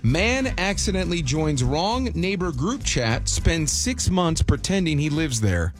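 Guy hosting morning radio, super animated, teasing and playful, music playing behind. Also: on the high side, a little slow. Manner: playful, teasing, repeats lines for emphasis. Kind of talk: upbeat radio banter with callers and co-hosts. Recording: music bed behind studio voice.

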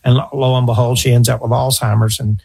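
Gravelly, husky middle-aged man. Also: medium pitch, level tone, fast, mellow. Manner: earnest, storytelling, repeats himself. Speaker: financial advisor radio host. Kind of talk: conversational advice talk.

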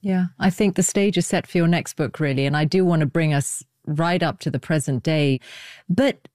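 A woman's voice: low voice, moderate pace, a little mellow, dry recording, studio quality. A woman conducting a considered literary interview as host, articulate and probing in her questions and comments.

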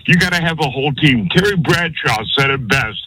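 A man's voice: a little high, expressive, fairly quick, crowd noise. An older man calling a radio talk show, talking bluntly and rambling about football. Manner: blunt, rambling sports fan. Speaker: caller. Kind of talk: call-in radio chat. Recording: noisy phone line.

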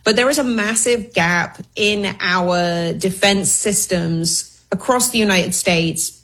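Husky-voiced woman giving news analysis. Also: medium pitch, takes it slowly, fairly bright tone. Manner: informal, wry, hedging explanations. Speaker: guest news researcher and commentator.

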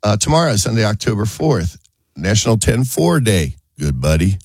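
Radio host with a cold, low voice, dry studio sound, joking, rambling delivery. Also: male voice, big pitch swings, moderate pace, a little mellow, husky, no room sound. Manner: joking, rambling, chuckles often. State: has a cold. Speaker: gardening radio show host. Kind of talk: casual radio chat.

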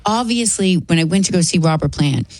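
A woman's voice: on the low side, expressive, a little fast, a little mellow, no echo. A woman co-hosting a radio show, chatting in an opinionated, talkative way about concerts. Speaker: radio co-host. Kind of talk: casual radio chat.

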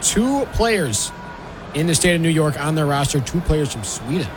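A man's voice: fairly high pitch, sing-song, moderate pace, background noise. A man giving easygoing, lightly teasing color commentary on a hockey game. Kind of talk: casual sports commentary.

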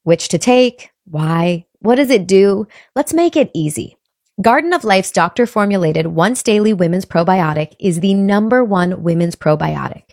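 A woman's voice: mid-range voice, expressive, moderate pace, vocal fry, a little mellow, no room sound, clean studio sound. A woman reading a scripted product commercial in a friendly, explaining tone. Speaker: ad reader.

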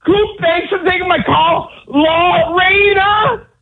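A man calling into a radio show, shouting excitedly. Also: extremely high pitch, slow pace, gravelly. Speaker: caller. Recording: phone line.

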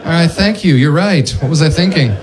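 A man in his thirties doing stand-up on stage, self-mocking and acting out quick voices. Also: fairly high pitch. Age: 30s. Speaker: stand-up comedian. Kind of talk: stand-up comedy set. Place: comedy club stage before a live audience. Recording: live audience crowd noise.

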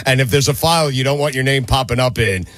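A man's voice: mid-range voice, fast talker, fairly bright tone, dry recording. Male talk host, middle-aged, American. Mid-range voice, casual joking interview style, close dry studio sound.

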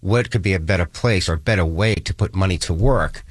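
A man's voice: deep voice, fairly quick, no echo, studio quality. A middle-aged man, a financial advisor, explaining retirement planning step by step in a casual chat. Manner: explanatory, builds examples step by step.

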